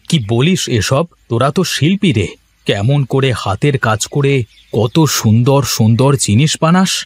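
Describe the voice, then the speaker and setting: A man's voice: mid-range voice, lively intonation, normal speed, husky, no echo, voice pushed down. A man voicing a hearty, familiar village farmer, an elder-brother type, in a moral-story cartoon with folksy talk. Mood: friendly.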